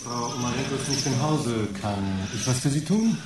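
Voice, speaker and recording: An actor as a young, lively-pitched shop clerk. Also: male voice, normal speed, over music, natural voice. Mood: polite, hesitant. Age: young adult. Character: polite, slightly muddled young male shop clerk. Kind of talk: radio drama acting.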